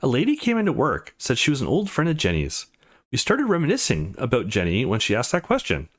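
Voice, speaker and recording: A man voicing a friendly, caring adult woman from a visual novel, chatty and gently concerned. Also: mid-range voice, wildly swooping pitch, a little fast. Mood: reminiscing.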